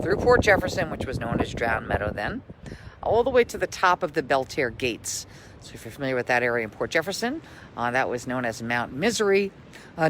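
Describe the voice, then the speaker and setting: A man's voice: medium pitch, fairly bright tone, a little hiss or hum. A man who works as a local history tour guide, speaking in an emphatic storytelling way. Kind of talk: scripted story reading, then informal tour talk.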